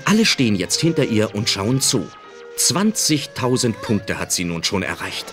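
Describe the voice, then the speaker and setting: A man's voice: on the low side, sing-song, normal speed, fairly bright tone, soft background music. A man narrating a scripted anime audio drama as its storyteller.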